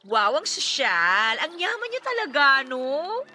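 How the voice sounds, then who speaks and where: Actress as teasing young woman, very high pitch, playful, forgiving, audio drama dialogue over music. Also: very animated, a little slow, pushed and bright, full character voice. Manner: playful, Taglish-mixing speech.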